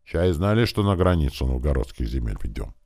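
Man playing a bassy young carpenter foreman. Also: sing-song, a little fast, dark tone, no room sound, studio quality, full character voice. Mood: dutiful. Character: young burly carpenter foreman, dutiful and aggrieved.

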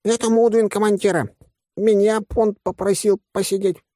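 A male reader puts on a silly creature standing watch in a space comedy audiobook. It is pitched far above his own voice. The delivery is shrill and clumsy, with broken syntax. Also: fairly even intonation, normal speed, warm tone, no room sound, studio quality, natural voice. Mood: alarmed. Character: odd alarm-shouting creature with broken grammar on a loudspeaker.

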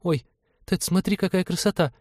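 A man's voice: on the high side, fairly quick, dark tone, no echo, studio quality, pitched above the performer's own voice, natural voice. A man voicing an earnest, emotional young woman space traveller in an audiobook. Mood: enchanted. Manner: pleading, emotional.

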